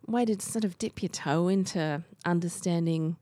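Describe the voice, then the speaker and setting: A woman with an Australian accent co-hosting a book podcast, giving steady, informative background with frequent "um"s. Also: fairly low pitch, moderate pace, no room sound. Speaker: podcast co-host. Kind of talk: casual book chat.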